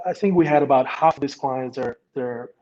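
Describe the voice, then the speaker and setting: Middle-aged man from Vietnam, agency founder, halting interview answers, muffled lo-fi mic. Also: mid-range voice, a little mellow. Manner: hesitant, many ums and you-knows. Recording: dull lo-fi sound.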